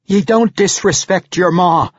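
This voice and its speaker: Man as fierce dwarf mother, raised pitch, blunt scolding, fantasy audiobook, husky edge. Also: big pitch swings, measured pace, no room sound, lo-fi sound. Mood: angry scolding. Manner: exasperated, blunt, rustic speech. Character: scolding, proud warrior mother, a dwarf woman.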